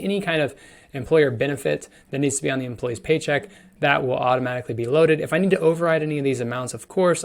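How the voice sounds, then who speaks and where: A man giving a conversational, explanatory walkthrough of software, as a product demonstrator would, stressing key words as he goes. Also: medium pitch. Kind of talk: screen-share software demo, explanatory walkthrough.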